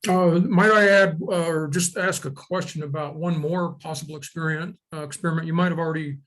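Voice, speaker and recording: A man speaking as a participant in an informal online meeting, in a tentative, halting way. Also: on the high side, steady tone, fairly bright tone. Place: online conference call.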